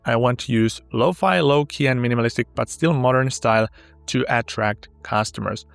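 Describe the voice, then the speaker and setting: A man whose first language is Finnish, upbeat and walking step by step through a sponsored software tutorial. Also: medium pitch, normal speed, creaky, dry recording, soft background music. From Finland. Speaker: tech tutorial host.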